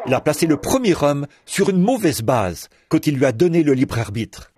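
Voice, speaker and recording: A man delivering a translated sermon in a lively preaching cadence, repeating words as he goes. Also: on the high side, moderate pace. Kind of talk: French reading of a translated sermon.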